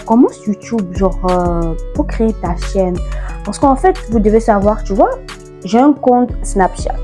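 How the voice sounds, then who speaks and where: A nineteen-year-old woman from Ivory Coast, chatting playfully and sassily to camera in a casual vlog. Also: medium pitch. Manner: chatty, playful, sassy, mixes slang. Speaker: YouTuber.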